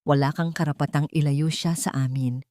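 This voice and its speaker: A woman voicing a manipulative older mother-in-law in an audio drama, sharp, reproachful and guilt-tripping. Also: low-pitched, steady tone, normal speed, no room sound, clean studio sound, voice pushed down, lightly acted.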